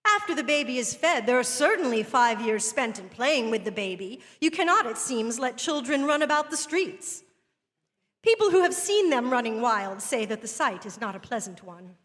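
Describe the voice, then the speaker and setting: A woman's voice: on the high side, sing-song, moderate pace, a bit bright. A woman performing a witty, indignant woman essayist in an emphatic, theatrical stage reading with arch, scornful wit. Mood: emphatic, arguing. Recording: echoey theatre hall, long reverb.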